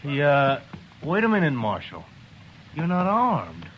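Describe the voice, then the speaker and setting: An actor playing a loyal, earnest frontier helper with halting speech in a 1950s radio western. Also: male voice, on the high side, wildly swooping pitch. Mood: eager, worried. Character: loyal, eager frontier deputy, folksy helper. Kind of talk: 1950s radio drama acting. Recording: noisy, muffled old radio recording.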